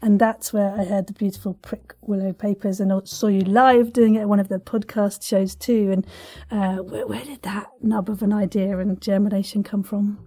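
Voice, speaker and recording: A woman's voice: mid-range voice, level tone, moderate pace, few pauses, warm tone. A woman hosting a casual interview podcast, talking in an effusive, playful way with quirky wording.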